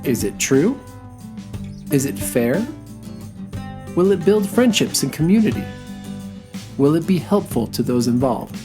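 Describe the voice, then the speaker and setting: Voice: mid-range voice, sing-song, unhurried, long pauses, over music. An announcer reading a scripted introduction to a story program for children.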